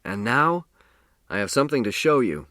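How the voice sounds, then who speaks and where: A jovial grown man, acted out by a male audiobook reader, hearty and fond of teasing. He talks with playful theatrical flourish at a middle pitch, in a dry, close recording. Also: big pitch swings, moderate pace, pushed and bright, no echo.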